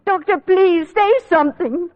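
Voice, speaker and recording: A gentle, anxious young lady in an old radio drama. Her high, delicate voice moves slowly and hesitantly. The recording is tinny and narrow. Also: dry recording. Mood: alarmed pleading. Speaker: actress in a radio play. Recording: dry, narrow-band vintage radio recording.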